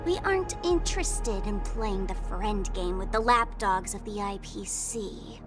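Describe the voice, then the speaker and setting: A woman's voice: medium pitch, expressive, unhurried, natural voice. An actress playing a sly, taunting young woman trickster in a video game, with a theatrical, teasing delivery. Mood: scornful. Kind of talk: video game character acting. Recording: music playing behind the voice.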